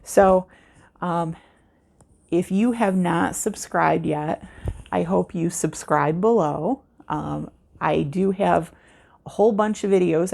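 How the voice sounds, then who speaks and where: An American woman chatting casually in an unscripted vlog, with a calming voice and a rambling, unhurried way of talking. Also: lowish voice, creaky. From United States. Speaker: craft vlogger, host. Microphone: external microphone with a cell phone.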